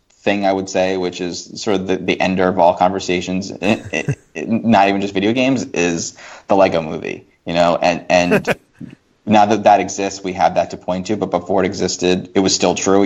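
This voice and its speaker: Male podcast guest, low-pitched, emphatic and halting, opinionated conversational delivery, slightly muffled lo-fi recording. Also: a little mellow. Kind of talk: casual opinionated discussion. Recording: dull lo-fi podcast audio.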